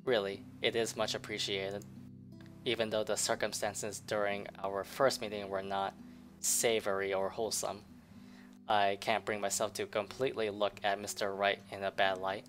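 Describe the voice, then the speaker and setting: A woman reading a visual novel aloud in a game playthrough, voicing the inner narration with quick reactions. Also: a bass voice, flat delivery, faint music behind. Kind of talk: let's play narration.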